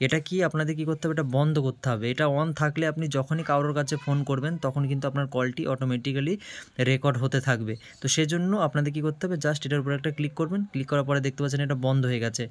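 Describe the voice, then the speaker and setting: A man hosting a tech tips video, explaining phone steps one by one in a repetitive tutorial style. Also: mid-range voice. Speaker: host of a tech tips channel.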